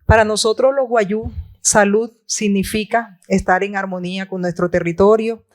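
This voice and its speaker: Measured woman, Wayuunaiki native speaker. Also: mid-range voice, steady tone. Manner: measured, formal and deliberate. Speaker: panelist. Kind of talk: formal conference presentation. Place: conference hall.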